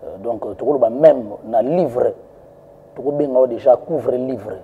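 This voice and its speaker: A man's voice: mid-range voice, normal speed, phone-quality sound, slight background noise. A male journalist asking interview questions, hesitant, with frequent fillers.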